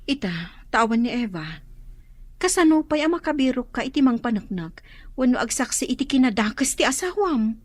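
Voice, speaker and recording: A woman's voice: on the high side, big pitch swings, moderate pace, no echo. An actress playing a worried woman caring for her older brother, speaking earnestly in a radio drama.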